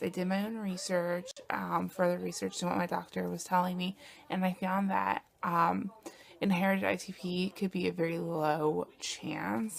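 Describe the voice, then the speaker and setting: A young woman talking softly in a personal video diary, often hushed and breathy, with sighs between phrases, sounding congested from a cold. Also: on the low side. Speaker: vlogger.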